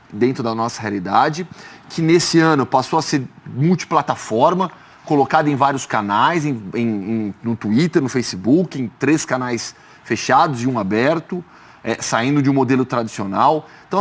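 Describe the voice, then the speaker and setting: A man, hosting a studio football debate and asking measured questions. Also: on the high side. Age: 30s. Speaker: sports journalist host.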